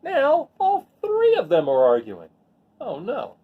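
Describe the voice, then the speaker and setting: A man's voice: very high-pitched, slow pace, slightly rough, narrow, tinny audio. A man reading a picture book aloud expressively, with casual asides. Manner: expressive, acts out the lines. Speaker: read-aloud storyteller.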